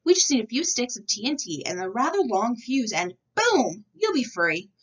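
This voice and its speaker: Woman doing an eager, animated war secretary. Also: on the high side, normal speed, breathy edge, far from the mic, highs cut off, pitched above the performer's own voice. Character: eager male secretary of war, keen on explosives.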